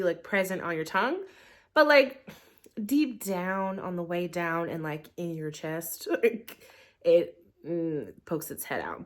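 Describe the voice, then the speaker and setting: A woman's voice: mid-range voice, fairly bright tone. Congested, nasal young woman chatting casually. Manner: chatty, rambling, many ums. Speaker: tea review vlogger. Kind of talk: casual review vlog. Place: living room at home. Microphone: camera in portrait mode.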